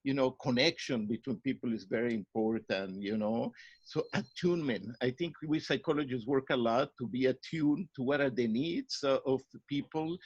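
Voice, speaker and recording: A man's voice: mid-range voice, moderate pace, slightly rough, no room sound. Mexican accent guy, middle-aged, earnest, stumbles and repeats a lot, dry muffled audio. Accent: Mexican Spanish. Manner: earnest, searching for words, repeats himself. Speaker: psychologist guest. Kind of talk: interview answers. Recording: dry, dull lo-fi sound.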